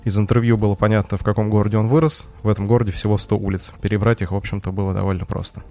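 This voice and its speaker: A man's voice: on the low side, steady tone, rapid-fire, creaky. A man, a security expert on a podcast, explaining things in a hesitant storytelling way with many fillers.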